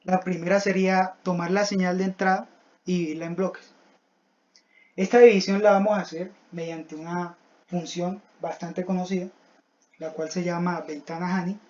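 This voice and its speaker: A young student presents a technical class project with a mid-pitched voice. The delivery is didactic and halting, moving step by step through prepared material. The recording is dull and lo-fi. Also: little pitch movement, a little mellow. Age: young adult.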